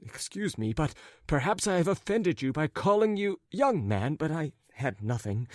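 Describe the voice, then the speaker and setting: A flustered middle-aged gentleman from a storybook, acted out by a man, pitched high and swooping up and down. He is wordy and apologetic, forever stumbling and correcting himself in an agitated, muddled way. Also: sing-song, moderate pace, no room sound, clean studio sound. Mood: flustered, pleading.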